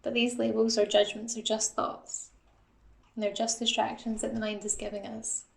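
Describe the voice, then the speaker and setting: A woman guiding a mindfulness body scan in a calm, gentle, instructional manner, like a psychologist leading an exercise. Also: on the high side, moderate pace, creaky, reverberant. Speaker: assistant psychologist guiding a meditation.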